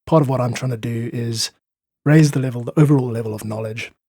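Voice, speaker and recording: A man speaking as an interview guest, explaining his views in an articulate, thoughtful way with a husky voice. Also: mid-range voice, fast talker, mellow, no room sound, clean studio sound. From South Africa. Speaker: podcast guest, independent musician.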